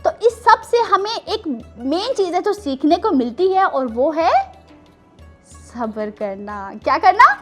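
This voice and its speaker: Children's show host, female, Pakistani accent, high pitch, encouraging teacher-like delivery, music behind. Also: sing-song, unhurried. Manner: encouraging, teacherly, with question prompts. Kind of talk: presenting a children's show, addressing young guests and viewers.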